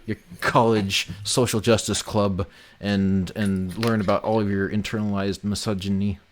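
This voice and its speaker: A man with a low-pitched voice hosts a livestream. He talks casually, like he is among friends. His manner is dry and teasing. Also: little pitch movement, normal speed, slight background noise. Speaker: livestream host and game developer. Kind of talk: casual chat.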